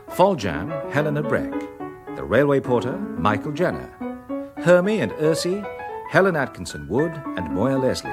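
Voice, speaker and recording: Radio continuity: English man, scripted credits read, very lively intonation, piano underneath. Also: mid-range voice. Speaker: continuity announcer. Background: piano music.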